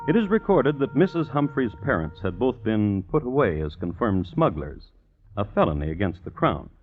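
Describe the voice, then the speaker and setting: A low-voiced male narrator from 1950s radio. His formal, dry delivery moves through lively dips and rises. The recording is thin and tinny. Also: moderate pace, faint music behind. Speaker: narrator and host.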